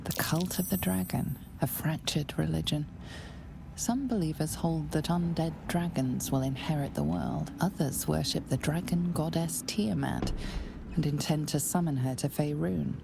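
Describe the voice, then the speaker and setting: An actress playing a mysterious, ominous fantasy game narrator, speaking in a hushed, deliberate storytelling manner. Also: female voice, deep voice, vocal fry, background noise. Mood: ominous exposition. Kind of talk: scripted second-person game narration.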